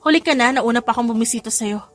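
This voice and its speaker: A woman's voice: fairly high pitch, fast, faint music behind, close to an everyday voice. A woman playing an anxious, devoted and teasing young wife in an audio drama. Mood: tender, teasing.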